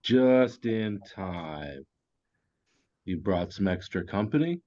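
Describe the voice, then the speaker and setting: Man reading a swaggering, animated goon boss, muffled audio. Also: deep voice, slow pace. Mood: smug mockery. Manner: mocking, profane, condescending. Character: swaggering, foul-mouthed male goon boss making threats. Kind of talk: comedic script table read. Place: virtual table read over video call. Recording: dull, lo-fi online call audio.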